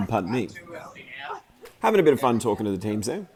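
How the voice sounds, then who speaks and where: A man just over the flu, his voice croaky, giving chatty, rambling commentary over footage of a hockey game he filmed, with plenty of fillers and restarts. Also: medium pitch, wildly swooping pitch, moderate pace, sharp tone. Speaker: amateur hockey commentator and video maker.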